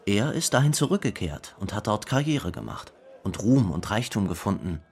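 A man's voice: fairly low pitch, big pitch swings, normal speed, breathy edge, exaggerated voice. An actor playing a grown man who reflects on his late brother in a plainly told radio drama monologue. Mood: reflective, wistful. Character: grown younger brother fondly recalling his famous late brother.

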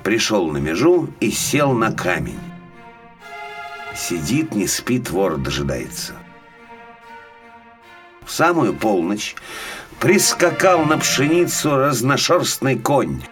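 A man telling a fairy tale for small children in an expressive, theatrical storytelling style. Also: on the low side, slow, long pauses, soft background music.

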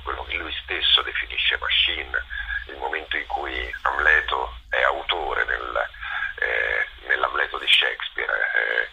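A man speaks in a reflective interview, stopping often to find the right word. His voice is extremely deep and husky, yet very sharp and forward in tone. The phrases come out in halting pieces. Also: low-quality recording. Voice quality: gravelly, husky. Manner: halting, searching for words, with many pauses. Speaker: interviewed theatre director and performer.

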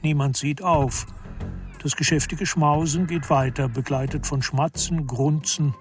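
A man's voice: on the high side, steady tone, a little slow, soft background music. A man narrating a radio play, reading scripted literary prose.

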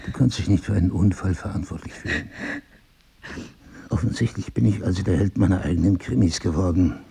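An actor playing an intellectual, probing amnesiac crime writer in a naturalistic radio drama, husky and sardonic. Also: male voice, sits low, a little fast, warm tone, heavily acted. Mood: musing, probing. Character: brooding amnesiac crime writer husband, cerebral, suspicious of himself.

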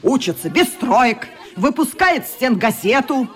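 A woman's voice: on the high side, sing-song, unhurried, soft background music. An actress playing a strict, indignant schoolteacher in a radio play, lecturing her class in a stern, haughty manner. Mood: stern, sarcastic.

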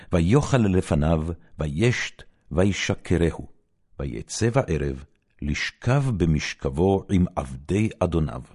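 A man giving a solemn, measured and expressive dramatic reading of biblical narrative, in the manner of a storyteller. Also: sits low, slightly rough.

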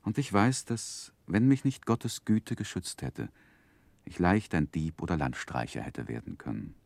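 A man's voice: deep voice, very animated, a little slow, gravelly, darkish tone, dry recording, full character voice. An actor playing a grown man who earnestly recalls his boyhood, narrating in a literary 1960s German radio play style. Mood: earnest, sorrowful.

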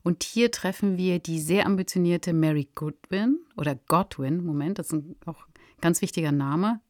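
A woman's voice: on the low side, a little mellow, no room sound, studio quality. A woman co-hosting a podcast, introducing an item in a casual, conversational way. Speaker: podcast co-host.

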